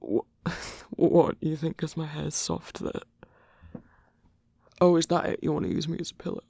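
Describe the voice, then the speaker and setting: A man playing a grumpy but tender werewolf lover in human form, speaking hesitantly with sighs and a husky, gravelly voice in an intimate ASMR roleplay. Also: on the high side, steady tone, mellow, dry recording, studio quality. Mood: bashful, incredulous. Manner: halting, hesitant, sighing, gruffly bashful.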